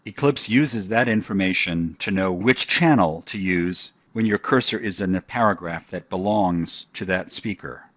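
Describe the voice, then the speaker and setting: A man narrating a scripted software tutorial in an instructional style. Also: low-pitched, normal speed, hardly any pauses, no room sound.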